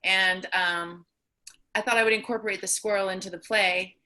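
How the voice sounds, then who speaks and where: Bright-voiced woman playwright, chatting. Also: mid-range voice, steady tone, moderate pace, slight background noise. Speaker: playwright and performer. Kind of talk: casual explanation of own play.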